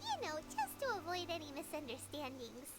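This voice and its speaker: A tiny, cheeky fairy companion from a video game. The voice is extremely high and childlike. It bounces around with lively, peppy swings. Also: female voice, fairly quick, heavy background noise. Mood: thoughtful. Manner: speaks of self in third person, peppy. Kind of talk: animated video game voice acting.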